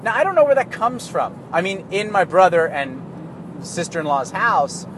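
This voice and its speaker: Canadian guy, somewhat high voice, loose profane monologue, car and street noise audible behind. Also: lively intonation, moderate pace. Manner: rambling, profane, laughs at himself. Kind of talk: casual monologue.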